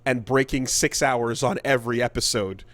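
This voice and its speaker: A man co-hosting a casual podcast about video games, speaking haltingly and repeating words mid-thought. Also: medium pitch, fairly bright tone. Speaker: podcast co-host.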